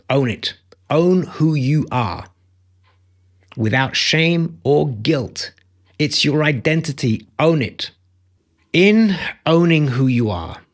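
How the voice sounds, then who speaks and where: An earnest middle-aged man coaches a listener on owning who they are. He speaks close to a boom-mounted studio mic in his home office and drives his points home by repeating them. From Democratic Republic of Congo. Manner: earnest, emphatic, repeats key phrases. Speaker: empathy coach and author. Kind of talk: motivational talk to camera. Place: home office with bookshelves, indoors. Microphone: studio mic on boom arm, close.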